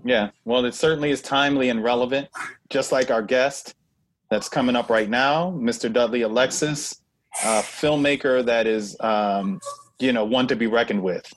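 A man's voice: medium pitch, moderate pace, dry recording. Earnest male co-host, dry sound. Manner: earnest, lots of "you know" fillers. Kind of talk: talk show hosting.

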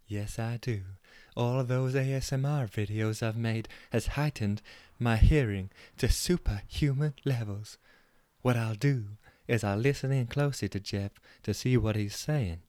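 Man doing a quiet know-it-all lady detective, soft and hushed, dry close mic. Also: mid-range voice, unhurried, no echo, natural voice. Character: hushed, self-assured female amateur detective.

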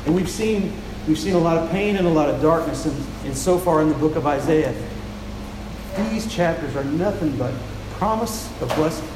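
A man preaching a sermon, soft-spoken and often repeating words as he restarts a thought, after reading scripture aloud. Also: on the high side, lively intonation, moderate pace. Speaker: pastor. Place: church with a large echoing room. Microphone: handheld mic. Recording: echoey, reverberant hall with noise.

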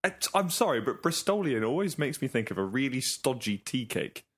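A man's voice: medium pitch, sing-song, a little fast, a bit bright, slightly rough. A man co-hosting a casual podcast chat, joking and playful. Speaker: podcast co-host.

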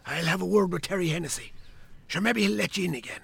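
An actor playing a chatty Irish countryman from the local pub in a radio drama, with a raspy, creaky voice. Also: high voice, fast talker, a bit bright, no echo, full character voice. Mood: affable, nosy.

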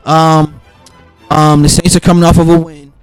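A man hosting a casual solo football podcast, raspy and under the weather, rambling loosely with lots of fillers and restarts. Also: a little high, flat delivery, normal speed, soft background music. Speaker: podcast host making football picks.

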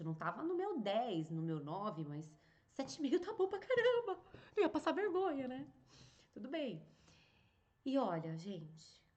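A woman, hosting a podcast and telling a personal story in a chatty, animated way, with many fillers and restarts. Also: mid-range voice. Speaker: singer and podcast host. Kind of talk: casual storytelling monologue.

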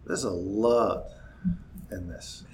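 Courteous low-voiced male host. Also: fairly even intonation, slow pace, darkish tone, slight background noise. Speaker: podcast host. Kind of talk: casual interview chat.

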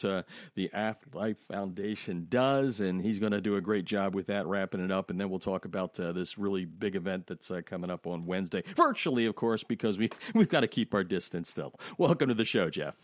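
A man's voice: deep voice, no echo, clean studio sound. Chatty Lehigh Valley man hosting a podcast. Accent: Pennsylvania Dutch-tinged Lehigh Valley. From Pennsylvania, USA. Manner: chatty, laughs easily, frequent "uh" fillers. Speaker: podcast host. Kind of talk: casual podcast interview.

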